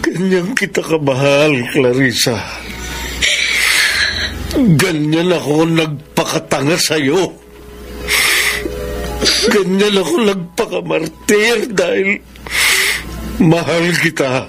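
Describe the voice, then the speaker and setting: An actor with a Filipino accent playing a sick, heartbroken, self-sacrificing husband in a radio soap opera, pleading in an anguished way. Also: male voice, on the high side, creaky. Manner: anguished, pleading, drawn-out delivery. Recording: dramatic music under the voice.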